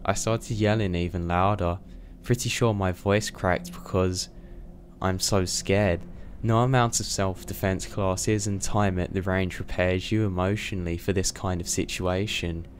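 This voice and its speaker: A young man narrating a scary first-person story in a steady, scripted read. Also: sits low, measured pace, slight background noise.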